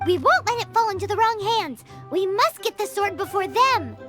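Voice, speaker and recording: A woman voicing a tiny, eager, childlike fairy companion in a video game, squeaky and exclamatory. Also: lively intonation, moderate pace. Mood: excited, eager. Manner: exclamatory, speaks of herself in third person. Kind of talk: animated video game voice acting. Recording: clean voice over loud game music.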